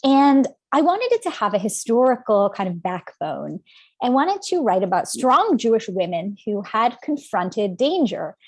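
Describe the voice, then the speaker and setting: A woman in her forties, an author from Canada, answering interview questions from home in an animated, storytelling way with frequent ums. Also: on the high side, unhurried, dry recording. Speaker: author guest.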